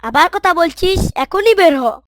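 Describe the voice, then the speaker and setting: A woman's voice: very high-pitched, lively intonation, unhurried, dry recording, studio quality. A woman voicing a spoiled, haughty young boy in a moral cartoon, bossy and petulant in manner. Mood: angry. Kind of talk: Islamic moral cartoon voice acting.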